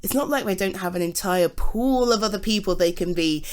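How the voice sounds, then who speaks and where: A woman's voice: mid-range voice, brisk, lightly acted. A British woman playing a supportive, affectionate girlfriend in an intimate ASMR roleplay, earnest and encouraging. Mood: earnest, insistent. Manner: encouraging, earnest, emphatic on key words. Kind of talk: ASMR roleplay, intimate one-sided conversation.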